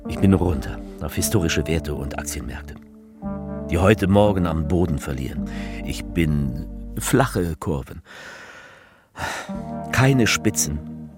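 A grave, fervent politician with a lowish, very animated voice. He speaks in deliberate, rhetorical phrases with emphatic repetition, in a slightly absurd theatrical monologue. Music plays clearly behind him. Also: full character voice. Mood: bleak, downcast.

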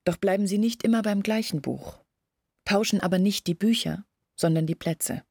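An actress playing an earnest village woman in a radio play, explaining things in a measured, husky voice. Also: medium pitch, darkish tone, dry recording, studio quality. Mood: troubled, insistent. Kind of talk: literary radio play monologue.

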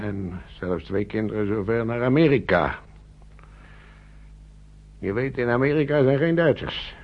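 An actor playing a worried, plain-spoken older man in a radio drama, gravelly and husky, with a blunt colloquial way of talking. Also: medium pitch. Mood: fatherly, serious. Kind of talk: naturalistic radio play acting. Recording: muffled old radio play recording.

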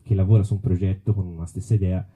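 A man's voice: low voice, steady tone, fast, warm tone, slightly rough. A man speaking informally and reflectively as an author presenting his book at a live event.

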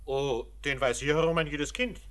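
An actor playing a cheeky, pushy young blacksmith looking for work, brash and glib in a radio drama. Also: male voice, a little high, moderate pace, fairly bright tone, lightly acted. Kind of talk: German radio play acting.

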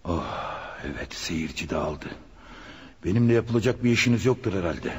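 An actor playing a nervous, grumbling cinema usher and reluctant accomplice in a radio drama, anxious and scolding. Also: male voice, sits low, lively intonation, normal speed, music in the background. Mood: uneasy. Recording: radio play with faint background noise.

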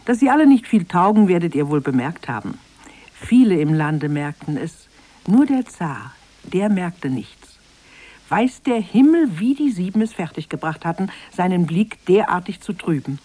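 Female storyteller for children's audio drama: lowish, expressive, dry studio sound. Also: normal speed, no echo. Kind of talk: scripted children's radio play narration.

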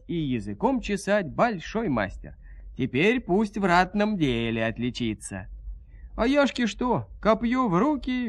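A man narrating a 1970s audio fairy tale in an animated, folksy, theatrical manner. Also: high voice, no room sound. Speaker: storyteller narrator.

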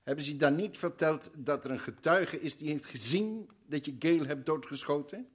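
An actor playing a shrewd, earnest old professor in a radio play, interrogating and growing excited. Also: male voice, fairly high pitch, moderate pace, husky. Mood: probing. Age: older. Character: shrewd old male professor, eager sleuth.

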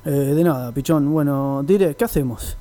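A man's voice: fairly high pitch, moderate pace, warm tone, lightly acted. A man playing a vain, breezy television actor with an Argentine accent, casual and slangy, in a radio comedy. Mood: self-satisfied. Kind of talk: comic radio play acting.

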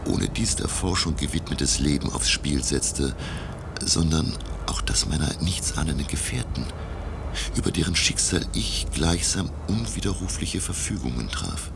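A learned, earnest professor with an extremely low, gravelly voice. He tells of his sea voyage in measured, literary radio drama narration, over heavy rumbling noise. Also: lively intonation, exaggerated voice. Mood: grave, reflective. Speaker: narrator and lead character.

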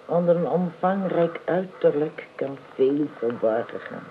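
An actress playing a stout, middle-aged housekeeper in a Dutch radio play, down-to-earth, dry and gently scolding. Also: female voice, low voice, animated, a little slow. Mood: matter-of-fact. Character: stout, matter-of-fact, motherly female housekeeper, gently scolding. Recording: narrow, hissy old radio recording.

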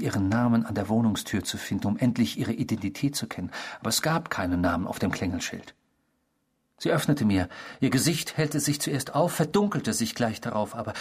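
Casting: male actor as creepy obsessive confessor for radio drama, husky mid-range voice, theatrical, savouring, unrepentant. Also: medium pitch, lightly acted. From the Netherlands (character). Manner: theatrical, savouring, unrepentant, mimicking the people quoted. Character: eerie, obsessive male stranger confessing a past crime. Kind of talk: radio drama dialogue, first-person storytelling.

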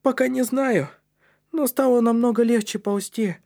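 A man voicing a small, earnest young boy with a squeaky, breathy, effortful voice in a story. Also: steady tone, normal speed, darkish tone. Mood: straining.

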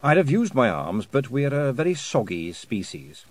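A fretful talking toad, acted by a male reader gives dry advice in a storybook. He is apologetic and pedantic, hesitating over his words with a lively rise and fall. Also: mid-range voice, normal speed, dry recording, pitched above the performer's own voice.

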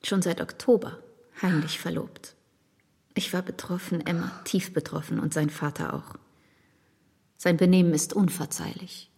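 An actress playing a distressed, affectionate married gentlewoman in a period radio drama, grave, hesitant and emotional. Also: lowish voice, steady tone, normal speed, long pauses, slightly rough. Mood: deeply dismayed.

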